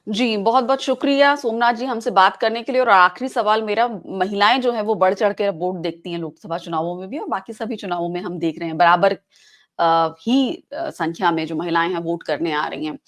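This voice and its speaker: Woman interviewer, low voice, polite formal tone, pauses on fillers. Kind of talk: news interview.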